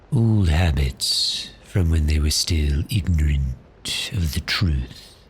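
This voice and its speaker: A man playing a polite, cheerful but menacing vampire in an ASMR roleplay, speaking courteously and deliberately. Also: very deep voice, a little mellow, breathy edge. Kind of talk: slow ASMR roleplay acting.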